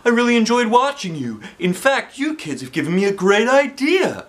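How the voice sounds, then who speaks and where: A man's voice: very high-pitched, very animated, moderate pace, fairly bright tone, voice pushed up, heavily acted. A man voicing an amused, smiling school principal in a storybook, with emphatic enthusiasm. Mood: amused, teasing.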